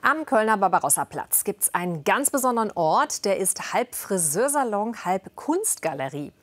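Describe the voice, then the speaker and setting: A woman presenting a TV segment from a script in a clear, even way. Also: mid-range voice, moderate pace. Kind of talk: scripted TV introduction.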